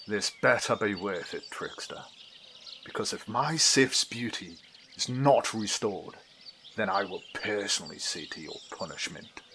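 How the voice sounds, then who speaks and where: Gruff, gravelly Norse warrior type played by a man, animated and theatrical, for a homemade myth audio drama. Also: lowish voice, a little slow, slight background noise, exaggerated voice. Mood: menacing. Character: gruff male Norse mythic warrior and dwarf. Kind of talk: amateur fantasy audio drama acting.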